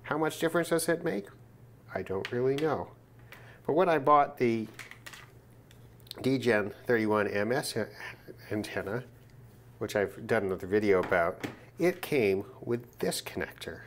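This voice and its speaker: A man's voice: medium pitch, animated, unhurried, long pauses, slight background noise. A man presenting an unscripted hands-on demonstration in a casual, matter-of-fact way, thinking aloud as he goes. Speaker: presenter of a radio gear test video. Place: indoors at a work desk.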